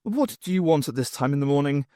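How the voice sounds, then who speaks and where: Man putting on a testy, flustered woman professor, high-pitched. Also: expressive, dark tone, no room sound, studio quality, lightly acted. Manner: brisk, testy, slightly flustered. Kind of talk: audiobook character dialogue.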